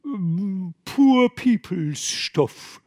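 A man reading an audiobook, voicing rough, evasive fishermen in a slow, drawling dialect. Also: high voice, lively intonation, darkish tone, no room sound, clean studio sound, pitched above the performer's own voice, full character voice. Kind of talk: audiobook character voice.

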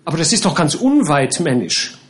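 A man's voice: a little high, sing-song, normal speed, voice pushed up. A man voicing a nervous, hapless amateur huntsman in an audiobook, asking things in a bewildered, flustered way. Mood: nervous, puzzled.

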